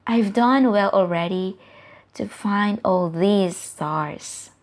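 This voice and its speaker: A woman voicing a plucky, determined young boy space adventurer from a storybook, speaking in an earnest, deliberate way. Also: mid-range voice, a little hiss or hum, natural voice.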